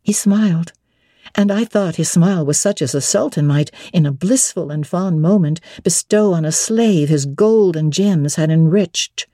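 A woman narrating classic literature as a dramatic audiobook reading, measured, expressive and theatrical. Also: fairly low pitch, no echo, studio quality.

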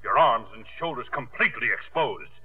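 An actor playing a pompous, scandalized prosecutor, orotund and accusatory, in 1950s radio drama style. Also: male voice, medium pitch, expressive, a little fast, a crowd in the background, lightly acted. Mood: scandalized, accusing. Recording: muffled, band-limited 1950s radio recording.

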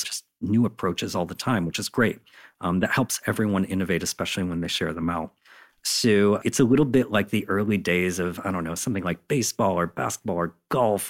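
A man speaking as an interview guest, explaining things in an enthusiastic, conversational and emphatic way. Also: on the low side. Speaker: interview guest, content designer.

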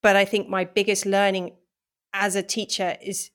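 A woman's voice: medium pitch, level tone, normal speed, a bit bright. Thoughtful woman lecturer, hesitant. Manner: thoughtful, hesitant, with frequent fillers and restarts. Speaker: university lecturer, interview guest. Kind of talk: reading a passage aloud, then conversational interview answers.

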